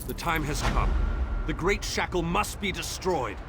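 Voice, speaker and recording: A man voicing a wise, grand mage in a fantasy video game, speaking in solemn, deliberate, theatrical phrases. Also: fairly high pitch, lively intonation, noisy background. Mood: grave, resolute. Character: grand, wise male mage freed from captivity.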